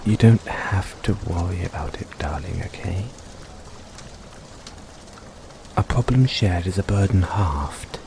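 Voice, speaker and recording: A man playing a caring, gently teasing boyfriend in a soft-spoken, playful comfort roleplay for an overworked partner. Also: low-pitched, a little slow, vocal fry, pauses often, background noise. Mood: tender, gentle. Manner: soft-spoken, playful, coaxing, chuckles often.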